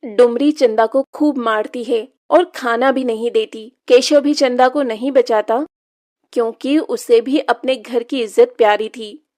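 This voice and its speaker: A woman reads scripted story narration. Her voice is high, and she takes her time, delivering each sentence as a storyteller. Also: fairly even intonation, a little slow, a little mellow.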